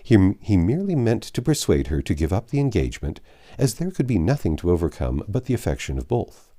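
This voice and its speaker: A man reading a classic novel aloud in a measured, expressive way, with the odd slip and self-correction. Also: deep voice. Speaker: audiobook narrator.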